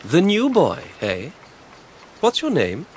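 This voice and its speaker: An English actor playing a confident, patronising teenage schoolboy in a radio drama, with a smooth, lordly manner. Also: male voice, fairly high pitch, huge pitch swings, takes it slowly, a little mellow, a little hiss or hum, heavily acted. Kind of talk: British radio drama acting.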